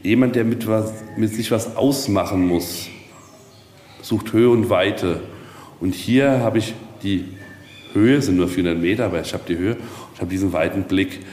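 Low-voiced man in an echoey space. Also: normal speed, darkish tone. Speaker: castle leaseholder, interviewee. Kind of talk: casual interview storytelling. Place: at a hilltop castle, reverberant. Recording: echoey, long reverb, noisy ambience.

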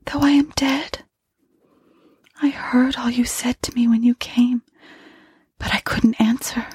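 A woman voicing a dead wife's ghost in a gothic story, weary, tender and pleading, with a faint, hollow, breathy voice. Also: fairly high pitch, fairly even intonation, slow, a little mellow, dry recording, studio quality, pitched above the performer's own voice, heavily acted.

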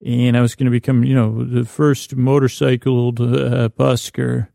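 Very creaky male voice, mellow, halting bedtime rambler, whimsical, self-deprecating, podcast host. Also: medium pitch, little pitch movement, dry recording, clean studio sound. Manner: meandering, halting, repeats and trails off mid-thought. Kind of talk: rambling bedtime monologue for listeners to fall asleep to.

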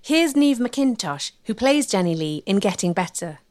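A woman narrates a documentary from a script. Her pitch moves around expressively, and the sound is dry and close. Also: very animated, moderate pace, a little mellow, no echo.

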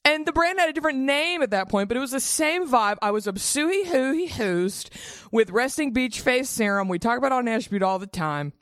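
A woman's voice: high-pitched, animated, normal speed, fairly bright tone, no echo, clean studio sound. A woman in her thirties hosting a comedic beauty podcast in a bubbly, theatrical, playful way over a video call. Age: 30s. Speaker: podcast host.

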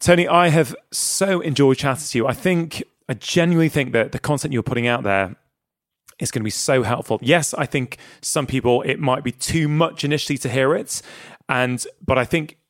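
A man hosting a conversational podcast, earnest and appreciative as he draws out his guest. His voice sits at a middle pitch. The recording is close and dry, with no room sound. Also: moderate pace, no echo. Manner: earnest, warmly appreciative, asks open questions. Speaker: podcast host.

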